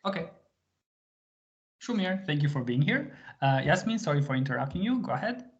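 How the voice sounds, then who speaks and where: A man's voice: on the high side, very animated, fairly quick. A man teaching a live online language lesson to a small group, patient and full of small fillers as he switches between English and Albanian. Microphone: video call. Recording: slightly muffled video call audio.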